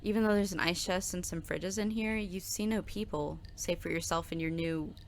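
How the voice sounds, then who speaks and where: A woman plays an overworked new coffee shop worker in an audio drama. The voice sits a little low as it delivers scripted narration. The character is nervous and worn down by the job. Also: normal speed, slight background noise, natural voice. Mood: grim, weary. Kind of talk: scripted second-person narration.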